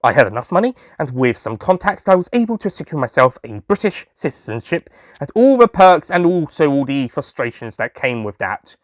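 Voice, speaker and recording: A man plays a youthful, jolly Time Lord narrating his own adventures in an audio story. His pitch swings widely as he chats and drops in self-amused asides. The sound is dry, with very little room on it. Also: sing-song, a little fast, no room sound. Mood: cheerful. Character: young excitable alien Time Lord, sweet-obsessed shopkeeper, jolly storyteller. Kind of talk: first-person audio story narration in character.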